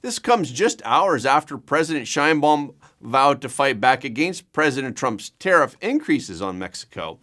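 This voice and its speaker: Male news anchor, highly varied intonation, slightly high voice, scripted narration, measured and emphatic. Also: a bit bright.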